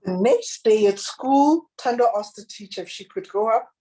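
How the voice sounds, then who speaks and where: A woman with a deep, husky, raspy voice reading her own children's picture book aloud and chatting about it. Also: expressive, rough recording. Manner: gentle, unhurried reading aloud with picture commentary. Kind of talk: children's storybook reading, then casual chat.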